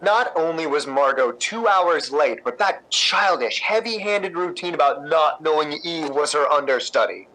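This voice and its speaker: A man performs an exasperated playwright in a 1950s-style radio play. His voice is high and forceful with very lively pitch. He is articulate, witty and sardonic. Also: normal speed, hardly any pauses, low-quality recording. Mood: annoyed, wry. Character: exasperated, witty male playwright, cutting and proud.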